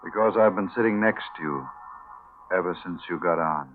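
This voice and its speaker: A man's voice: low-pitched, normal speed, soft background music, lightly acted. An actor playing a secretive, unruffled railroad man, matter-of-fact, in 1940s radio drama style. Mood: flatly insistent. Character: smooth-talking, secretive male railroad man. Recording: tinny, narrow-band old radio broadcast.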